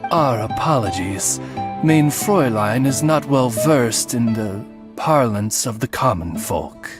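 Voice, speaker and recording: A man's voice: medium pitch, lively intonation. A man voicing a polite, formal talking raven who speaks for his mistress. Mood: courteous. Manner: courteous, formal, measured. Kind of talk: game cutscene voice acting. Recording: game audio with music behind.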